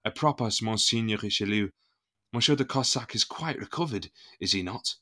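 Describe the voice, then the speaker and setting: An actor playing a proud, indignant musketeer captain in an audio drama, formal and oratorical. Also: male voice, fairly low pitch, normal speed, sharp tone. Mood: pointed, courtly.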